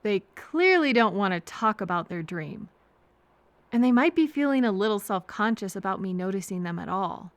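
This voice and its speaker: A woman playing a kind, apologetic train passenger in an intimate audio roleplay, speaking softly and hesitantly to reassure a frightened stranger. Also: mid-range voice, lively intonation, dry recording, close to an everyday voice. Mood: worried musing. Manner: gentle, hesitant, reassuring, pausing for replies.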